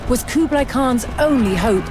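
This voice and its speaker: A woman's voice: high-pitched, expressive. Woman narrating history over prominent music. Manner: measured, dramatic storytelling with deliberate pauses. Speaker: documentary narrator. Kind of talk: scripted historical documentary narration. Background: background music.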